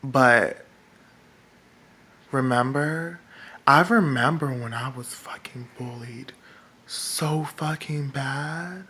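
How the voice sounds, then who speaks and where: A man with a feminine-sounding voice, talking in a rambling vlog monologue that is halting and full of fillers and restarts. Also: medium pitch, bright, forceful tone, slightly rough. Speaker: political vlogger. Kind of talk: casual monologue to camera.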